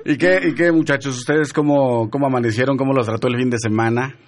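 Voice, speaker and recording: A man's voice: mid-range voice, fairly even intonation, fast talker. A man hosting a radio show, chatting casually and teasing his guests in a jovial way.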